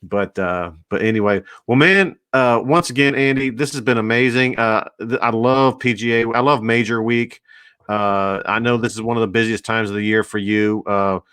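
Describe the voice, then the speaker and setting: Low-voiced host full of fillers. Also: male voice, a bit bright, clean tone, no room sound, clean studio sound. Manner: halting, lots of fillers and restarts. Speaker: show host. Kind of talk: casual interview chat.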